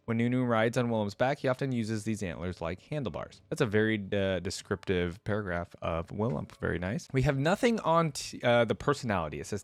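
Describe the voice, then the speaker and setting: A man with a fairly low voice hosts a casual reaction video. He reads wiki-style text aloud and slips in easygoing comments of his own. The sound is dry and close to the mic. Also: no echo. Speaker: host of a game lore reaction channel.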